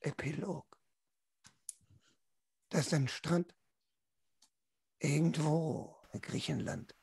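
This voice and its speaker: A young man narrating a tabletop role-playing game as storyteller, with a theatrical, drawn-out, intense delivery into a close microphone. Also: a little high, vocal fry, a little mellow, breathy edge, dry recording. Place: small room at home, online video call.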